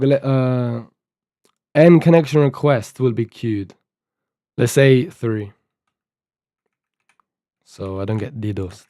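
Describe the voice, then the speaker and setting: An eighteen-year-old man live-streaming his coding, muttering and thinking aloud as he reads documentation. His voice is mellow and a little rough from a sore throat. It sounds dry and close, with keyboard clicks under it. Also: medium pitch, dry recording. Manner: mumbling, thinking aloud, reading docs half to himself. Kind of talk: casual live-stream talk while coding.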